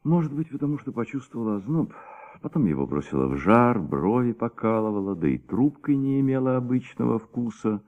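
An actor narrating a detective radio play in a measured, suspenseful, dramatic way on an old recording. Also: male voice, mid-range voice, lively intonation, phone-quality sound, close to an everyday voice. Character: thoughtful male storyteller of a detective tale.

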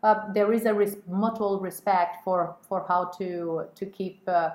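A woman's voice: mid-range voice, fairly even intonation, a little slow, a little mellow. Woman guest, stops and restarts a lot, echoey room. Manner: hesitant, many fillers and restarts. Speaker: guest, women's network co-chair. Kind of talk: casual interview talk.

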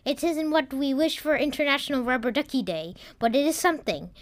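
An earnest TV news anchor, played by a woman, announces a bulletin inside a storybook tale. The delivery is formal and raised in pitch, with a close, dry sound. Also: brisk, no echo, close to an everyday voice. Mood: urgent.

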